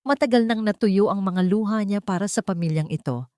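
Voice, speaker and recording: A woman storyteller reading a scripted, dramatic narration with measured phrasing. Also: mid-range voice, mellow, no room sound, clean studio sound.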